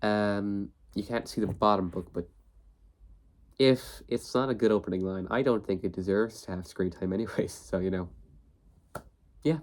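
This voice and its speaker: Low-voiced young man with a cold. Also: normal speed, long pauses. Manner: rambling, many fillers and restarts. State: has a cold, sniffly. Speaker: book video host. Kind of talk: casual talk to camera.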